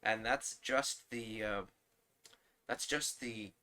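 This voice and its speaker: A man's voice: fairly low pitch, fairly even intonation, slow pace, pushed and bright. A man hosting a livestream, chatting casually and rambling as he talks to viewers and himself. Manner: rambling, talking to chat and himself. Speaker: livestream host.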